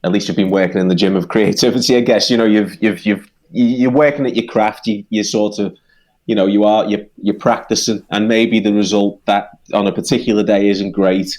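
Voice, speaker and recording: A man's voice: fairly low pitch, darkish tone. A man with a Liverpool accent, a songwriter co-hosting a podcast, talking earnestly and repeating himself as he finds his words. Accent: Liverpool English. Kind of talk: casual chat.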